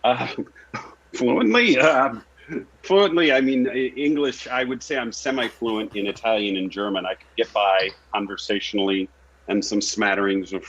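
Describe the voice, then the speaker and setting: A man's voice: lowish voice, moderate pace, a bit bright, husky. A man, an actor and singer, telling his story casually as an interview guest. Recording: echoey room, dull lo-fi audio.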